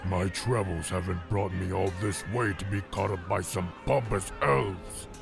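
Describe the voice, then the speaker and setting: A man voicing a large, wary ogre traveller in a fantasy storybook, with a grating, firm, measured delivery. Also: vocal fry, full character voice. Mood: wary, irritated. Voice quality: deep, grating. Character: large male ogre traveller, restrained but gruffly warning. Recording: narration over loud background music.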